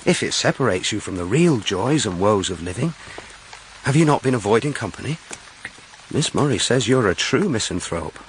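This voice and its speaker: A man's voice: lowish voice, very animated, normal speed, rough recording. An actor playing a courteous, wry young country clergyman in a period audio drama. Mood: earnest, tender. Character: gallant, teasing, earnest country clergyman. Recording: rain noise behind the voice.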